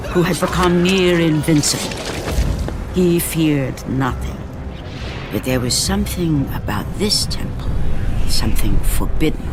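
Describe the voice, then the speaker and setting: A man narrating a video game cutscene in a solemn, grave, scripted storytelling tone. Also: fairly high pitch. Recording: game cutscene with very loud score.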